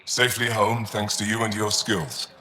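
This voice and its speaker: A man playing a proud, honorable alien warrior in video game dialogue, formal and measured. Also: on the low side, little pitch movement, bright, forceful tone, poor audio. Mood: proud, admiring.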